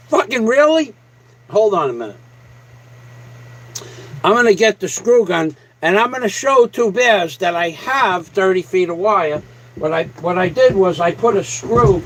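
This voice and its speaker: Guy streaming to his viewers, kinda high voice, ranting casually, cocky and combative, swears a lot. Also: a bit bright, a little hiss or hum, rough recording. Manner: combative, boastful, foul-mouthed. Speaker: live streamer addressing viewers. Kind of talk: casual livestream rant to a viewer.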